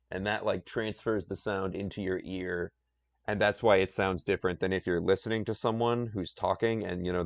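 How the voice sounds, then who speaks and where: A man with a deep voice chatting casually on a live stream, rambling and self-deprecating. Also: vocal fry, dry recording.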